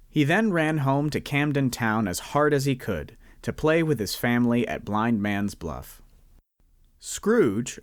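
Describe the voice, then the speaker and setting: Male narrator for a radio play, animated and measured, dry close sound. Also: mid-range voice, no echo. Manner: measured, expressive storytelling. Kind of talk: dramatic storybook narration.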